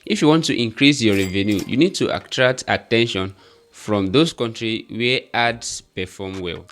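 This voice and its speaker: Nigerian guy with a lowish voice doing an earnest step by step tutorial. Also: a bit bright. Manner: earnest, repetitive step-by-step instruction. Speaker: YouTube growth tutor. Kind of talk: tutorial talking to camera.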